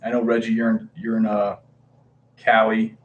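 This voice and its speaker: Chatty low-voiced man greeting stream viewers. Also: monotone, normal speed, muffled recording, slight background noise. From Hawaii. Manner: chatty, reads and greets viewers, hesitant fillers. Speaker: comic book livestreamer. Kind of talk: casual livestream chat.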